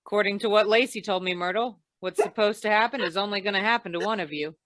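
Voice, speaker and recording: A woman's voice: mid-range voice, fast, a bit bright, no echo, close to an everyday voice. A woman playing a wry, hard-boiled insurance investigator in an old-time radio drama, with dry, tough delivery. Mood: cool, commanding.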